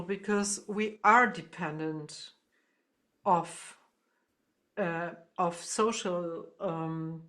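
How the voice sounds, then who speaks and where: A woman musician being interviewed, explaining her ideas reflectively and haltingly as she searches for words. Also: medium pitch, a bit bright.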